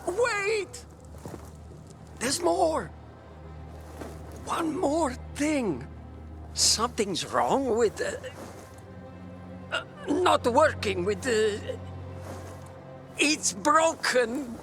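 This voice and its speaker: A man's voice: very high voice, very animated, fairly bright tone, heavily acted. A man playing a flustered, stammering father in a video game. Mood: flustered, halting. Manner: halting, stammering. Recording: game music behind the voice.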